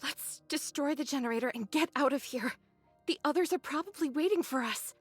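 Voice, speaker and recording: A woman playing a gentle, solemn young religious soldier who mourns the dead, with soft, pensive delivery in a video game. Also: high voice, a little fast, dry recording, faint music behind, lightly acted. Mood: gently resolved. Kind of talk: dramatic video game voice acting.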